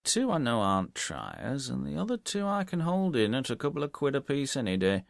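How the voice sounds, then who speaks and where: A man's voice: mid-range voice, wildly swooping pitch, brisk, vocal fry, no room sound, studio quality, pitched above the performer's own voice. Man putting on a brash British landlord, swooping intonation. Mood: eager, scheming. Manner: colloquial, dropped aitches, hearty. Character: brash, wily male sporting landlord and gambler.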